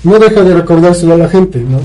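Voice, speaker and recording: A man's voice: sits high, expressive, moderate pace, dark tone, rough recording. A man with a Mexican accent chatting casually as a podcast co-host, recounting a story in a rambling, colloquial way with frequent tag questions. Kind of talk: casual chat, recounting a film plot.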